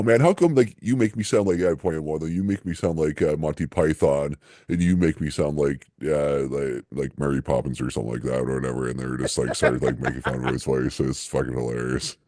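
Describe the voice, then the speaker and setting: A man's voice: a bass voice, creaky, a little mellow, no echo, studio quality. A man co-hosting a podcast, rambling casually with lots of fillers and restarts. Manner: rambling, halting, many fillers and restarts, laughs often. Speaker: podcast co-host. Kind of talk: casual chat.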